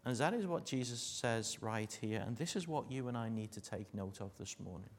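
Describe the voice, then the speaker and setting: Scouse preacher with a joking, earnest manner. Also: male voice, on the low side, animated, normal speed. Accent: Liverpool. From Liverpool, England. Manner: jokey asides, then earnest and dropping to a hush. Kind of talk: sermon.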